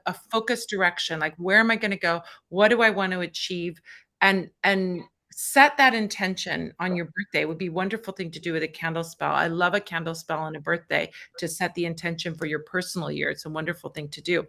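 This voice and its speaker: Brief: woman host of a live tarot stream, bright voice, chatty and encouraging. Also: mid-range voice. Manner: chatty and encouraging, thinks aloud while fumbling with the stream setup. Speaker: tarot reader hosting a fundraiser stream.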